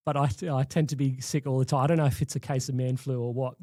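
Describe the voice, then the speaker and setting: A man hosting a podcast, sounding sniffly and a little croaky, chatting in an easygoing way. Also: mid-range voice, level tone, fast, dark tone, no echo, studio quality. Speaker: podcast host. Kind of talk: casual interview chat.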